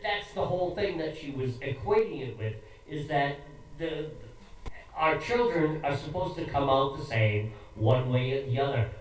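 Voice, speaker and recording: Male show host, American, casual and blunt, rambles haltingly, off-mic in a heavily reverberant room. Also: medium pitch. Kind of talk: casual opinionated interview talk. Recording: very echoey room, distant, faint noise.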